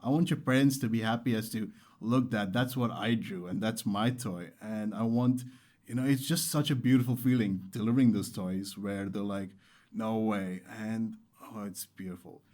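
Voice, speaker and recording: Male interview guest, young adult from Qatar. Lowish, relaxed tone, conversational storytelling with emphatic, heartfelt delivery. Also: moderate pace. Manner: earnest, enthusiastic, emphatic repetition. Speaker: interview guest, startup founder.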